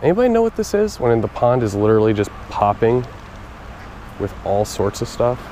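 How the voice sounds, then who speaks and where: A man's voice: mid-range voice, big pitch swings, brisk, mellow. A young man talking casually to his viewers while he fishes at a pond, recorded on an action camera. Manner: chatty, talks to viewers while fishing. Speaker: fishing vlogger. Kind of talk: casual outdoor commentary. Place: outdoors at a pond. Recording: outdoor noise from a body camera.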